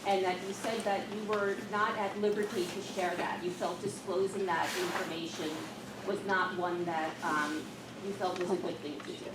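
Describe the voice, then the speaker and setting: A woman's voice: fairly low pitch, fairly even intonation, normal speed. A woman speaking as a resident at public comment, asking pointed, direct questions. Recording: echoey room, far from mic, noisy.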